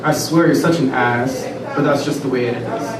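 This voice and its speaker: A man reading his own first-person prose aloud at a live reading, in a quiet, measured way. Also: mid-range voice. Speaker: student reader. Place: large room at a live reading. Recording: echoey room, far from the mic, noisy.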